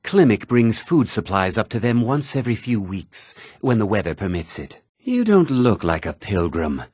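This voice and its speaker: Casting: condescending fantasy RPG townsman, short-tempered, lively swooping intonation, close dry sound. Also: fairly low pitch, normal speed, breathy edge, no echo. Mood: dismissive, curt. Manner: condescending, curt, sneering. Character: haughty, irritable townsman of a fantasy realm. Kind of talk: fantasy video game character lines.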